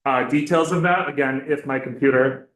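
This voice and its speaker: A man speaking as a victim advocate before a legislative committee, loud and hesitant with many fillers. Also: medium pitch, normal speed. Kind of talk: committee testimony. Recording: echoey hearing room.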